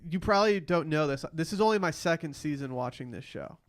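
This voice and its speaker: A man's voice: a little high, lively intonation, brisk, dry recording. A man hosting a casual podcast chat about a reality TV show. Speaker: podcast host.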